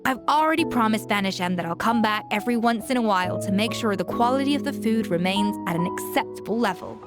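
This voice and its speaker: A woman's voice: mid-range voice, expressive, fast talker, over loud music, natural voice. A woman playing a self-assured young chef in a video game, passionate and articulate as she explains things. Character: confident, exacting young female chef, passionate about cooking. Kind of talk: video game character dialogue.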